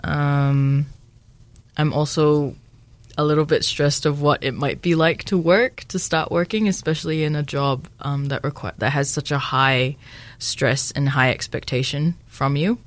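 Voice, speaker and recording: A woman's voice: low voice, steady tone, measured pace. A woman in her twenties from Samoa, a medical student interviewed as a guest, thoughtful and hesitant with many ums. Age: 20s. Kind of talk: casual interview.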